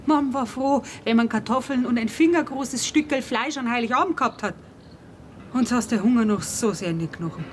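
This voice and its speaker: A woman's voice: a little high, brisk, slight background noise, lightly acted. An actress playing a hungry, indignant postwar woman who grumbles plaintively in a German radio play. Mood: awed, plaintive.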